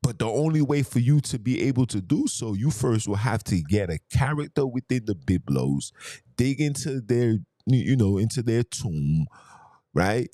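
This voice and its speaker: A man's voice: medium pitch, expressive, moderate pace, warm tone, no room sound, clean studio sound. A man hosting a podcast, arguing in a heated monologue full of rhetorical questions and emphatic repetition. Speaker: podcast host.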